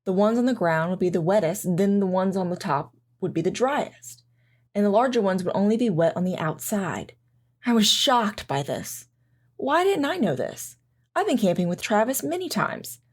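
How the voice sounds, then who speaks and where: A woman's voice: medium pitch, sing-song, moderate pace, slightly rough. A woman playing a flustered, self-doubting mother who narrates and talks in an audio drama. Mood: baffled.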